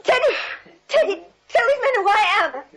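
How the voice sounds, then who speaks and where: An indignant, frightened young neighbour in 1940s radio style. Her voice is very high and swings widely as she makes sharp, breathless demands. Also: sing-song, normal speed, soft background music. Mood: panicked. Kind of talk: 1940s radio drama acting.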